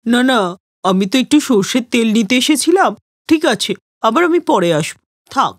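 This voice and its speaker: A woman's voice: a little high, lively intonation, normal speed, no echo, studio quality. A woman voicing a pushy older female neighbour in a cartoon story, wheedling and gushing as she begs for food. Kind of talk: animated moral story cartoon dialogue.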